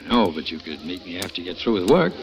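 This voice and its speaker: A man's voice: deep voice, sing-song, fast, noisy background. An actor playing a jealous husband who narrates his plans in the first person, in a 1940s radio drama. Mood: smooth flirting. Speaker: narrator and lead. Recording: dull old radio broadcast with music.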